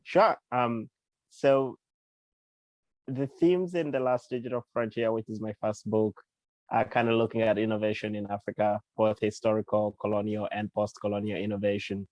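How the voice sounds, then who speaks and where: A man from Uganda talks in an unhurried interview, pausing with fillers and restarting his sentences. His voice sits on the low side. The recording is dry and close, with no room echo. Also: flat delivery, a little mellow. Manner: thoughtful, with frequent fillers and restarts. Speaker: guest author and CEO. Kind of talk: casual interview talk.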